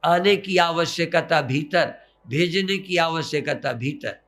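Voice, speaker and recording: A man leading a guided breathing meditation as a spiritual teacher, coaxing gently in short, repeated phrases. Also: on the high side, slow.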